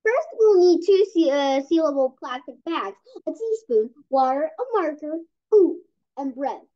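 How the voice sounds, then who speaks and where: Young read-aloud host, animated and high, stumbles while reading, slightly muffled recording. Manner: halting reading, stumbles and repeats words. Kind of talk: reading a children's science comic aloud. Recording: dull lo-fi recording.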